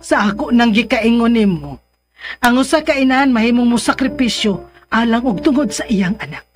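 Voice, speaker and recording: A concerned Filipino mother acted by a woman reasons gently and pleadingly with her grown son. Her voice sits a bit high, in radio drama style, with soft music beneath. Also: animated, moderate pace, natural voice. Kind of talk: Philippine radio drama acting.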